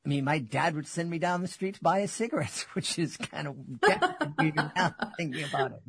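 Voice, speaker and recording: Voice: medium pitch, big pitch swings, a little fast, a bit bright, slight background noise. A podcast host interviewing a guest in casual talk, laughing between questions. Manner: friendly, laughs while talking.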